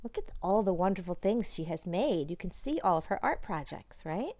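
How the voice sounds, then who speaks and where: Animated woman reading a picture book aloud. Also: lowish voice, normal speed, dry recording. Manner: animated, addresses young listeners directly. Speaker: children's author reading aloud. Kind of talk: expressive picture-book read-aloud with chatty asides.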